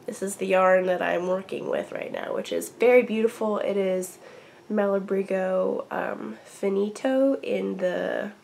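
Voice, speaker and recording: A woman's voice: mid-range voice, a little slow, gravelly, darkish tone, slight background noise. A young woman hosting a knitting podcast, chatting casually with lots of ums and likes, still congested from a cold. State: congested, getting over a cold. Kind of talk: casual chat to camera.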